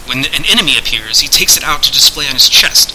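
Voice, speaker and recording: A man's voice: mid-range voice, lively intonation, fast talker, pushed and bright, slight background noise, poor audio. A man voicing a commanding, courteous unseen sorcerer who speaks in formal, declamatory archaic phrases. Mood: instructing.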